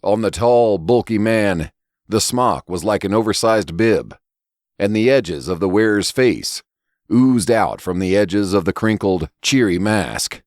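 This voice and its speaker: A man reading scripted fiction as a narrator, in a deliberate, expressive storytelling manner. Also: no room sound, clean studio sound. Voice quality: low-pitched voice. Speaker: story reader and podcast narrator.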